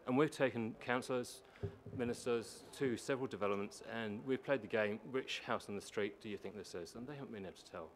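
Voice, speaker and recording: A man with a low voice presenting a prepared submission at a public meeting, explaining and repeating points for emphasis. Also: fairly quick, hardly any pauses. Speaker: housing organisation manager presenting to a council. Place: council meeting chamber. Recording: meeting room with faint background noise.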